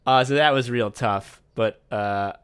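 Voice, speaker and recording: A young man chatting casually as a podcast co-host, rambling excitedly and swearing often as he walks through his own thinking. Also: medium pitch, a little slow, clear, resonant tone. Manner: rambling, profane, excitable, acts out his own thought process. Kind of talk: casual behind-the-scenes chat.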